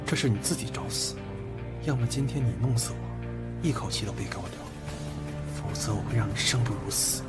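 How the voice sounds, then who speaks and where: A man's voice: on the low side, brisk, close to an everyday voice. An actor playing a hot-headed, fiercely loyal young man in an audio drama, with emotional, intense delivery. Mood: vengeful. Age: young adult. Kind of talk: Chinese audio drama acting. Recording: loud music over the voice.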